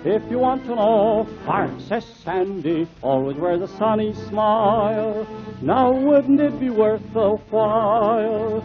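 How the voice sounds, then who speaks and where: A man's voice: sits high, expressive, slow. A man singing a theme song in 1930s radio jingle style. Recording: dull, lo-fi 1930s radio with music.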